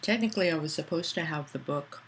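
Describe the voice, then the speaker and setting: Casual streamer-author, mid-pitched voice, loose chatty delivery, answers chat aloud, some faint hiss. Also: fast talker, fairly bright tone. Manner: loose, with many fillers and restarts.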